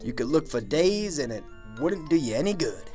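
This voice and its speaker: A man's voice: on the high side, big pitch swings, moderate pace, dark tone, faint music behind. A man playing a genial, sly small-town preacher in an amateur radio drama, folksy and insinuating. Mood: sly, smooth.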